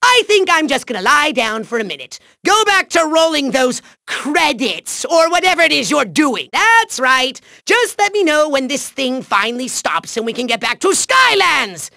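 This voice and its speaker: An actor playing a pompous, tantrum-prone little cartoon villain in a video game, with a shrill, gravelly, theatrical delivery. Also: male voice, very animated, normal speed, few pauses, pushed and bright, heavily acted. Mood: flustered, indignant. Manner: theatrical, grandiose, sneering, cackling.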